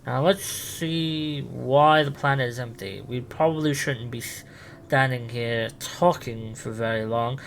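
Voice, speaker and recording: A man playing a quirky time-travelling adventurer and helpful guide, halting as he thinks aloud in improvised role-play. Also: medium pitch, gravelly, a little hiss or hum. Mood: friendly, musing.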